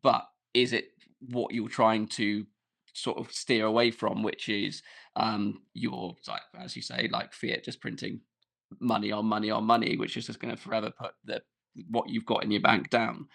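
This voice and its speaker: A man's voice: on the low side, level tone, vocal fry, fairly bright tone. A man, a dance teacher and podcast guest, talking casually with fillers and restarts. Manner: halting, many fillers and restarts.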